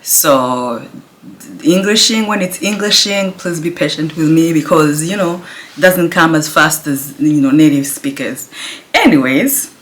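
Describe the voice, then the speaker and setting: A woman, her Kinyarwanda, chatting casually to camera at home, speaking softly and laughing now and then. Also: sits low, animated, unhurried. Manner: speaks softly, laughs often, restarts words. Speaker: vlogger. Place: home, indoors.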